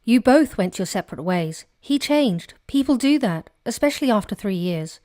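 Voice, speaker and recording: An actress playing a caring, sensible female friend in an audio drama, gentle and plain-spoken. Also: mid-range voice, animated, moderate pace, no room sound, clean studio sound, lightly acted. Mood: concerned.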